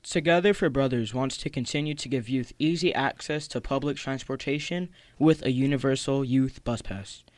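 A man's voice: medium pitch, normal speed, hardly any pauses, no room sound. A man hosting a radio show, reading scripted introductions of segments and guests. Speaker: radio host.

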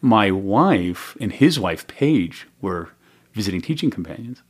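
A man in his forties chatting as a guest, animated and laughing often, with frequent restarts. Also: on the low side, a little slow. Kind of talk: casual conversation.

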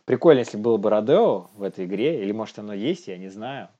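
A man's voice: on the low side, animated, fast, dark tone, muffled recording. A man co-hosting a news commentary show, reading items and joking casually. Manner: joking, laughs often.

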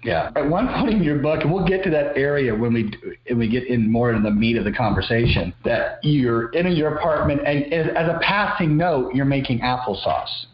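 A man's voice: medium pitch, animated, moderate pace, few pauses, roomy sound, highs cut off. A man hosting an interview, asking long rambling questions and giving frequent brief affirmations. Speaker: interview host. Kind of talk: conversational interview.

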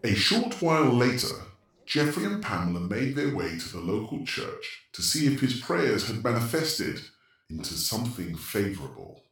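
A man's voice: mid-range voice, sing-song, moderate pace, a bit bright. A man narrating an audio drama as a scripted storyteller. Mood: measured. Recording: very echoey, distant from microphone.

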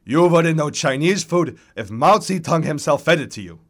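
A man acts out a very old, irritable character in a short story. The voice is a hoarse, tattered baritone. Delivery is scornful, punchy and lively in pitch. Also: moderate pace. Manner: scornful, emphatic, jabbing. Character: shrunken, cantankerous ancient man, former baritone, scornful. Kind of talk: dramatized short story reading.

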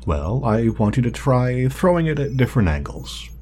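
An actor playing a meticulous male scientist in an audio drama, speaking formally and wordily, halting when flustered. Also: medium pitch, very animated, a little mellow, slight background noise. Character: meticulous, fussy male scientist testing his drone creation.

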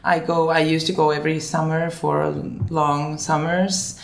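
A woman's voice: low-pitched, flat delivery, measured pace, creaky, roomy sound. A woman from Finland, a business founder, giving brief, plain and slightly hesitant answers in an interview. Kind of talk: casual interview chat.

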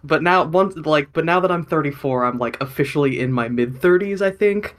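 A man's voice: fairly high pitch, a little fast, fairly bright tone. A man in his thirties co-hosting a podcast, chatting casually and rambling with many fillers and self-deprecating asides. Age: 30s. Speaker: podcast co-host. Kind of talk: casual chat.

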